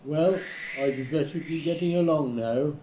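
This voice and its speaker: A man in an amateur family radio-comedy reading, playing an amiable, slow-witted idiot. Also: fairly high pitch, dull audio, natural voice. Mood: amiable, dim. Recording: noisy old 1970s cassette tape.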